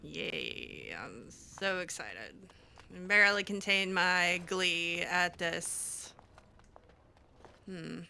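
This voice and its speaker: Gamer woman roleplaying, slow and hesitant, very animated, sarcastic, game music behind her. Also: fairly low pitch, creaky, smooth. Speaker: gamer roleplaying a mage heroine. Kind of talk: improvised in-character game playthrough.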